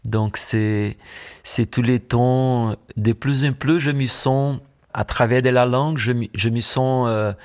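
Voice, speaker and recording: A Brazilian man speaking French with a Brazilian accent, a musician guest in a radio studio interview, pausing often to search for words. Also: medium pitch, fairly even intonation, a little mellow. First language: Brazilian Portuguese.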